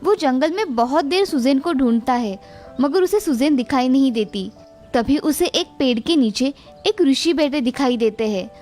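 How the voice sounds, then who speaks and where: A woman's voice: high voice, animated, moderate pace, a little mellow, soft background music. A woman narrating a scripted children's fairy tale as storyteller.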